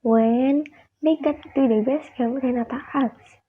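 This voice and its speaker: A woman's voice: high voice, fairly even intonation, a little slow, slight background noise. A young woman with an Indonesian accent narrating a radio drama from a script. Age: young adult. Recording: dull lo-fi student recording.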